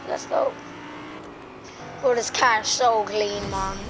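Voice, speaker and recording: A woman's voice: mid-range voice, noisy background. A young woman giving casual, sweary gameplay commentary, exhausted, with her voice nearly gone. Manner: slangy, sweary, addresses viewers as boys. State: exhausted and hoarse. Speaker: gaming video creator.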